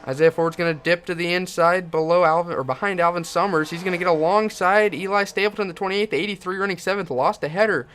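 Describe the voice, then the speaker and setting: A young man giving excited play-by-play commentary on a video game car race and hosting his channel, getting hoarse as he goes. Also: high-pitched, quick pace, hardly any pauses, a little hiss or hum. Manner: builds to shouting excitement at the finish.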